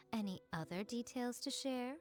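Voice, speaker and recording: An actress playing a sly, elegant, amused shrine maiden in a video game, speaking in a refined, teasing way. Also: female voice, on the high side, darkish tone. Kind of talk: English video game voice acting.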